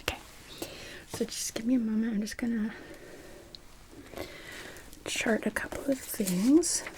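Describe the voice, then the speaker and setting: A woman whispering breathily in a gentle, reassuring way, playing a doctor who explains care in an ASMR medical roleplay. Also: a little high, measured pace, background noise. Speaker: ASMR performer playing an emergency room doctor.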